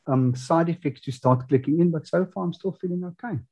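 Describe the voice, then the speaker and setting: A man hosting a podcast, chatting casually and reacting with quick, enthusiastic exclamations. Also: on the high side, warm tone, husky. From South Africa. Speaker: podcast host. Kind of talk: casual interview chat.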